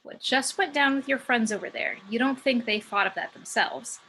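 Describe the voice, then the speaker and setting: Woman playing bright, sassy, high-voiced muse. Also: moderate pace, slight background noise, low-quality recording. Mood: boastful, wry. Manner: sardonic, brash. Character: sassy, cocky female muse working as a stripper. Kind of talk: amateur table read of a film script.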